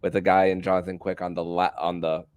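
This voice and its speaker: A man with a very low-pitched voice hosts a casual sports podcast. He speaks haltingly, filling gaps and repeating words. The sound is dry, with no trace of room echo. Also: little pitch movement, darkish tone, clear, resonant tone. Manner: hesitant, fills pauses with "uh" and repeats words. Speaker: podcast host.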